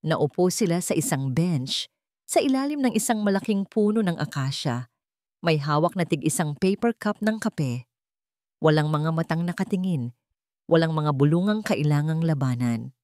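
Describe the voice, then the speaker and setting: Mellow, expressive woman storyteller. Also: low voice, moderate pace, dry recording, clean studio sound. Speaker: story narrator. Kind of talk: scripted dramatic narration of a romance story.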